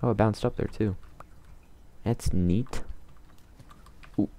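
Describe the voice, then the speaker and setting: A man calmly talking to himself while playing a game, in a soothing, mumbling way. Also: on the low side, slow, slight background noise. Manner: calm, mumbling, self-talking. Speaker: gamer. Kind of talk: casual let's play commentary.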